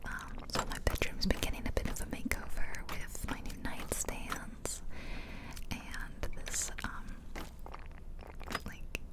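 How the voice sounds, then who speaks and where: A woman in her twenties rambling casually in a soft, unvoiced whisper for ASMR, close to the microphone. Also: takes it slowly, poor audio. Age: 20s. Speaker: ASMR video host. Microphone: close ear-to-ear ASMR microphone.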